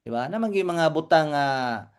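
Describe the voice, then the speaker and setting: A man's voice: fairly high pitch, lively intonation, moderate pace, clear, resonant tone. A man with a Cebuano accent co-hosting a live training meeting, explaining informally, repeating points and checking that listeners follow.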